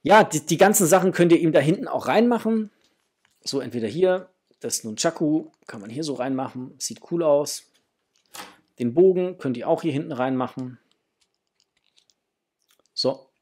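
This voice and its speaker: A man's voice: a little high, animated, moderate pace, long pauses, darkish tone, husky. A middle-aged man hosting a toy unboxing, chatting casually and enthusiastically to his viewers in a gushing way. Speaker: host of a toy collecting channel. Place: small home room indoors.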